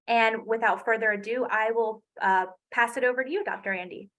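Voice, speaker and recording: A woman's voice: on the high side, a little fast, a bit bright, poor audio. A woman hosting a webinar on a video call, welcoming the audience and introducing a speaker, with occasional fillers. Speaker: webinar host.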